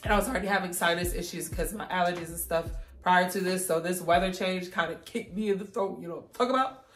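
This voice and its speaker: Hoarse, stuffy-nosed woman vlogger. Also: on the low side, fast talker, roomy sound, faint music behind. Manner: encouraging, preachy, addresses viewers directly. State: hoarse and congested. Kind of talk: casual faith-filled catch-up talk.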